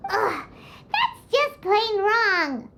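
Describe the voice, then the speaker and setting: A woman voicing a tiny, childlike fairy companion in a video game, with a squeaky voice and a curious, outspoken manner. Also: sing-song, extremely slow, pushed and bright, slight background noise, exaggerated voice. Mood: disapproving. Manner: inquisitive, refers to self in third person. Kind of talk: cartoonish video game voice acting. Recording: prominent music behind the voice.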